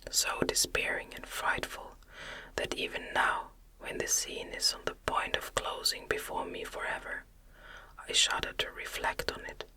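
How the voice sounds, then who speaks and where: Unvoiced whisper, ASMR audiobook reading, breathy, very bright and sibilant, slow soothing phrasing, deliberate pauses. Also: poor audio. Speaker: audiobook reader.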